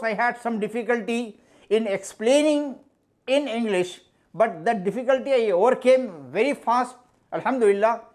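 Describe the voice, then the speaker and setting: High-voiced older man, native Urdu speaker. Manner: measured, emphatic, repeats key phrases. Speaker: mathematics teacher. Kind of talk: interview answers.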